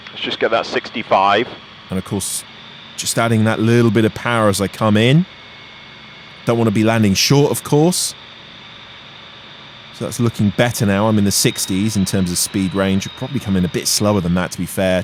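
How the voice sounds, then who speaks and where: A man pilot giving casual, self-critical, explanatory flight commentary and short radio calls. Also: on the low side. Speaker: pilot and vlogger. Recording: engine noise in light aircraft. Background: aircraft engine hum.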